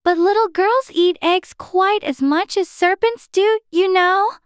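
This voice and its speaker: Little girl for a storybook: tiny, very high voice, polite, puzzled, earnest. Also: measured pace, no echo, clean studio sound. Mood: hasty protest. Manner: prim, earnest.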